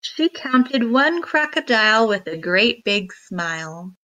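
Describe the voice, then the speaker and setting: A woman reading a children's picture book aloud in a deliberate, expressive storytelling manner. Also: a little high. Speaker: read-aloud narrator.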